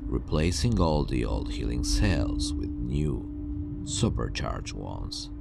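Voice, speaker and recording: A man playing a calm, self-assured superhuman villain scientist who disdains humanity, speaking in a hushed, grandiose, deliberate way in an ASMR roleplay. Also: very deep voice, gravelly, over loud music, heavily acted. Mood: calm, clinical. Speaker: ASMR voice actor.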